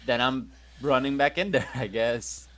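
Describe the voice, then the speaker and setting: A man's voice: mid-range voice, unhurried, a bit bright. A man playing a tabletop game, asking tentative questions in casual talk. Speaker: player.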